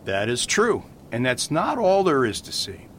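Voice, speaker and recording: An actor playing a calm, playful priest detective in an amateur radio drama, patient and mild. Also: male voice, mid-range voice, measured pace, slight background noise. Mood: whimsical. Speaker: Father Brown.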